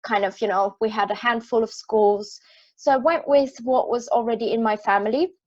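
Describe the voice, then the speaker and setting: Woman, Austrian accent, young adult, slightly high voice, dull lo-fi call audio, friendly explaining. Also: moderate pace, darkish tone. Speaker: project manager, panelist. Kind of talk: career panel talk.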